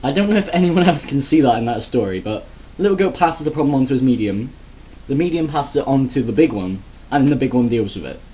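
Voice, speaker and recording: A man's voice: medium pitch, lively intonation, fast, warm tone. A man giving a sarcastic, crude, rambling comedic rant in a casual vlog. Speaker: vlogger.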